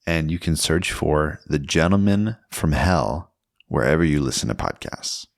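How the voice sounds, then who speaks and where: Super deep gravelly guy doing a dramatic podcast intro, really dry recording. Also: expressive, a little slow, no room sound. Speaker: podcast host introducing a show. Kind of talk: scripted dramatic promo narration.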